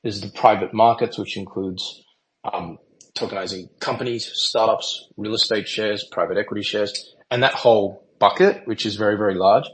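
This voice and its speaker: Low-voiced man explaining with assurance. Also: fairly even intonation, normal speed, rough recording. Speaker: panel guest, company founder. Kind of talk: online audio panel discussion.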